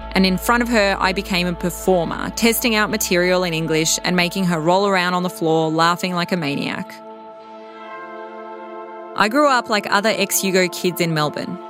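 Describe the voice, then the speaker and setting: A woman in her thirties, raised in Serbia, calmly telling her own story in a reflective, wry tone. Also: fairly low pitch. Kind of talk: scripted personal narration. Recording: music bed underneath.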